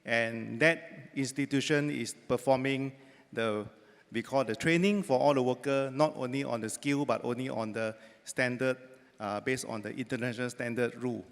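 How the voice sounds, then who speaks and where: A man's voice: mid-range voice, fairly even intonation, normal speed. A man interpreting for a panel speaker, fluent but loosely phrased, with fillers. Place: large conference hall. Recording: reverberant conference hall.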